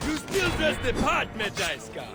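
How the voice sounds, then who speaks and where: An actor playing a menacing, mocking bounty hunter in a video game, calling out shouted taunts. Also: male voice, extremely high pitch, exaggerated voice. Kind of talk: video game combat acting. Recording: loud music behind the voice.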